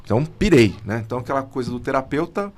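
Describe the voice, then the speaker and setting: A man's voice: medium pitch, sing-song, fast talker, a little mellow. A Brazilian psychologist in his fifties, a podcast guest who talks casually and animatedly, with slang like "cara". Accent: Brazilian Portuguese. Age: 50s. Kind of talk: conversational podcast interview.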